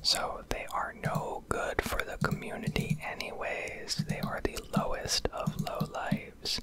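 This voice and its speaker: A young woman whispers throughout in a breathy, unvoiced ASMR style, close to the mic. She rambles through an opinionated rant over gameplay, swearing freely and pausing to find her words. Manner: profane, opinionated, hesitant pauses. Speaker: ASMR gaming streamer.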